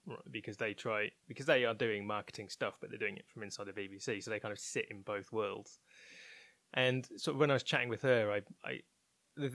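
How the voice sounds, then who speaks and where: A man's voice: medium pitch, a bit bright, dry recording. A man giving a conversational interview, thoughtful and hedging, often restarting his phrases. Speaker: interviewed research and development head.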